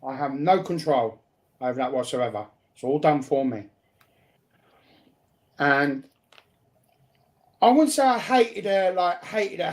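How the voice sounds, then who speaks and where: Blunt, emphatic man talking personally. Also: a little high. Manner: blunt, repeats phrases for emphasis. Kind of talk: emphatic personal talk, partly confrontational.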